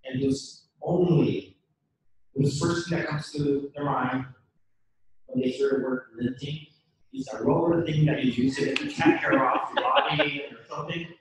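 A man's voice: on the high side, expressive, normal speed. A man in the audience asking a rambling, effusive question at a meetup. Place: meetup room, off-mic. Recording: distant echoey room mic with faint noise.

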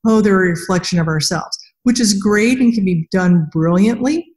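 A woman's voice: medium pitch, normal speed, a little mellow. A middle-aged woman hosting a casual interview on a video call, talking conversationally. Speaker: podcast host interviewing an author. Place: indoors against a plain wall, video call.

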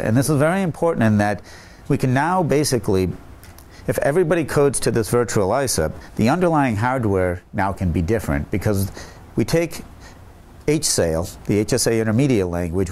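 A man's voice: on the low side, darkish tone. A middle-aged man earnestly explaining technical ideas to camera, often restarting and repeating words, on a lapel mic in a meeting room. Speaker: chip company executive.

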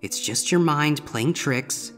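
A man's voice: a little high, takes it slowly, fairly bright tone, soft background music, pitched above the performer's own voice. A man voicing a young male griffin student in a fan fiction reading, uneasy, hesitant and grumbling. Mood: anxious, puzzled. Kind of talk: fan fiction audiobook character voice.

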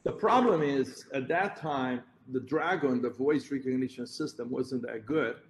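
A man's voice: mid-range voice, measured pace. A man with a Romanian accent, a heart surgeon giving a conference presentation, explaining step by step and correcting himself as he points at slides. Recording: roomy echo, faint background noise.

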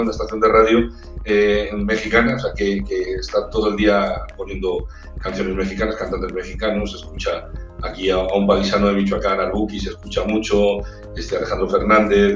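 A man's voice: fairly low pitch, flat delivery, fairly quick, dull audio. A man in his forties from Spain with a Castilian accent, a singer chatting as an interview guest on a video call, searching for words and repeating them as he talks. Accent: Spanish from Spain. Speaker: opera-trained ranchera singer, guest. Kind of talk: casual interview chat. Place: indoor room with brick wall. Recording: echoey room, far from the mic, faint music.

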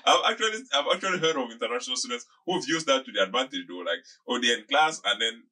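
Male interviewer, thirties, podcast studio. Forceful, sharp-edged tone, wordy and conversational, repeats himself while forming questions. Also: mid-range voice, animated, fast talker. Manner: wordy, repeats phrases as he thinks aloud. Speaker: podcast host. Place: small indoor podcast studio. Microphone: desk microphone close up, with headphones.

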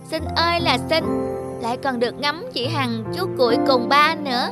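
A woman voicing a small child devoted to their father in an animated tale, childlike and eager. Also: high voice, normal speed, lightly acted. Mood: excited. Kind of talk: animated moral-tale voice acting. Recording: music under the voice.

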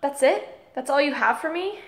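A woman's voice: sits high, expressive, normal speed. Holly, a woman playing an eager schoolgirl, echoey room. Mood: eager, chatty. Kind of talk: audio drama acting.

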